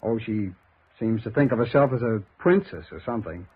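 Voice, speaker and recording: An actor playing a defensive, aggrieved young American man with a husky voice in a 1950s radio drama, hesitant and easily agitated. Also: on the low side, animated, brisk. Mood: guarded, evasive. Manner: hesitant, evasive, turning agitated. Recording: dull, lo-fi 1950s radio recording.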